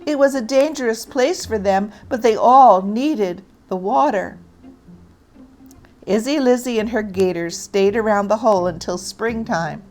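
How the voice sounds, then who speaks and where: A woman narrating a children's story aloud in an expressive, deliberate read-aloud style. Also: on the high side.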